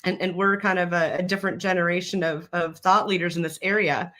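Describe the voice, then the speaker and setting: A woman's voice: fairly low pitch, fairly even intonation, a bit bright. A middle-aged woman with a Minnesotan accent, a guest expert explaining confidently on a video call. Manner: confident, explanatory, quick laughs. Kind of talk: casual video call chat. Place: office room, indoors. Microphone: video call webcam.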